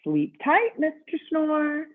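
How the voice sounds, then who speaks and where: A woman's voice: high voice, sing-song, slow pace, pitched above the performer's own voice, full character voice. A woman voicing a polite, accommodating male hotel bellhop in a storybook reading, with a courteous sing-song delivery on tinny phone-like audio.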